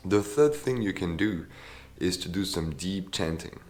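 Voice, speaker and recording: Very deep-voiced male voice coach. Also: animated, unhurried, darkish tone. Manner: enthusiastic, tags sentences with "yeah?". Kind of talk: casual video tutorial.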